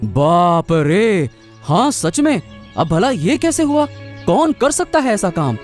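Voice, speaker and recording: A woman's voice: on the low side, big pitch swings, takes it slowly, darkish tone, faint music behind, exaggerated voice. Woman voicing an alarmed village woman, swooping intonation. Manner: startled, repeating words. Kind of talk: Hindi cartoon story voice acting.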